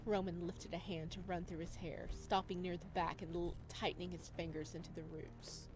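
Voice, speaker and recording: Woman narrating over soft background music. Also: lowish voice, level tone, normal speed, rough recording. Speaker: visual novel let's player. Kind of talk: dramatic read-aloud narration.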